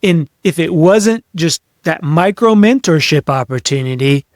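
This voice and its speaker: Higher-pitched man giving an earnest motivational talk. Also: animated, a little slow, dry recording, clean studio sound. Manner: earnest, emphatic, repeats key phrases for stress. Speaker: podcast host, leadership coach. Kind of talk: motivational coaching monologue, direct address to listeners.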